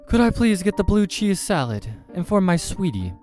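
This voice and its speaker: A man's voice: high-pitched, very animated, normal speed, darkish tone, faint music behind, voice pushed up. A man voicing an eager, doting male date in a visual novel, sweet and keen to please.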